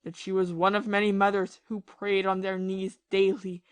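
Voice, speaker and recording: A woman acts as a ruined gentleman and former army captain in a period audio drama. He confesses his lovesick misery with bitterness and passion, halting and repeating himself. The pitch is moderate for the performer and the sound is very dry. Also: flat delivery, smooth, no echo, close to an everyday voice. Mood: anguished.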